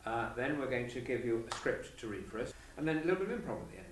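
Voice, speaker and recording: A man's voice: medium pitch, very animated, quick pace. A man running auditions, giving casual instructions and asking questions. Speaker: audition organiser. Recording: echoey room, distant mic, clear noise.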